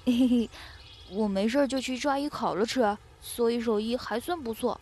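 A woman's voice: on the high side, normal speed, a little mellow, close to an everyday voice. A woman playing a friendly, modest boy from the woods in a Chinese costume radio drama, boyish and eager. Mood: cheerful. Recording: faint background noise, outdoor nature ambience.

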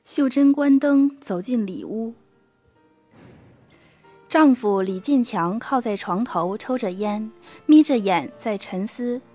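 A woman's voice: a little high, slow, warm tone, soft background music. A woman reading scripted narration for a radio drama as its storyteller.

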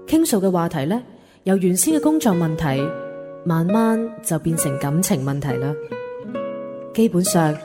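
A young office woman , played by an actress, confides her troubled love life in a radio drama. Her delivery is introspective and measured, slightly low in pitch. Long reverb surrounds the voice, with guitar music clearly playing behind it. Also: lightly acted. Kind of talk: first-person radio drama narration. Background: plucked guitar music.

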